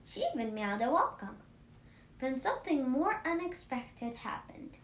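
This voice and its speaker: A woman reading a children's story aloud in an expressive, animated manner. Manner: animated, acts out dialogue. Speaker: storybook reader for a children's book club. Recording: roomy echo, faint rumbling noise.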